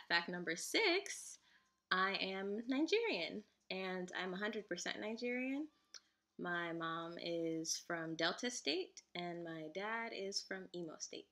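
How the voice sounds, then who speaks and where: Giggly young woman, bright lower voice. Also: creaky. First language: Igbo. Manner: chatty and giggly, lots of fillers. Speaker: vlogger. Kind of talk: casual chat to camera.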